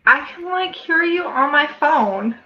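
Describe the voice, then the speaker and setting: A woman's voice: sits high, expressive, a little slow, a loud crowd. A flustered, exasperated woman talking casually as a guest on a livestream video call. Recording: muffled lo-fi call audio.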